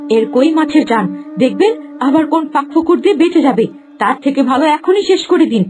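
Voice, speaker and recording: High-voiced spiteful villainess. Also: female voice, lively intonation, a little fast, over music. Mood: goading. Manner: urgent, goading. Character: spiteful, impatient young henchwoman of a sorcerer. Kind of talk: melodramatic cartoon dialogue.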